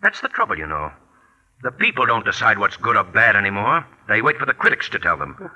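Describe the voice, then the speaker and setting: A man playing an indignant, vengeful playwright and columnist in 1940s radio drama, arguing in a sharp, articulate way. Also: fairly low pitch, very animated, a little fast, exaggerated voice, rough recording. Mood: angry, threatening. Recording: 1940s radio broadcast, husky harsh sound.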